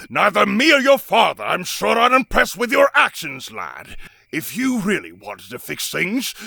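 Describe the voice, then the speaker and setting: A proud, stern cartoon king with a short temper. He drawls slowly in a gravelly, sarcastic voice that swings hugely in pitch, over music. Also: bright, forceful tone, no echo, studio quality, full character voice. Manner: sarcastic, menacing, swinging from slow to booming. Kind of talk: fan comic dub voice acting.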